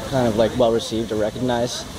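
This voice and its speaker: A man's voice: medium pitch, fairly quick, crowd noise, rough recording. A man reporting on location from a city park, casual and informal, then interviewing a guest. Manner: relaxed and informal, with fillers.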